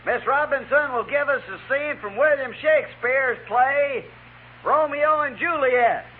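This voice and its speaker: A man playing a pompous Old West saloon showman, making grandiose shouted announcements in a 1950s radio Western. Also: extremely high pitch, measured pace. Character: bellowing frontier saloon master of ceremonies, pompous showman. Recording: narrow, noisy vintage radio recording.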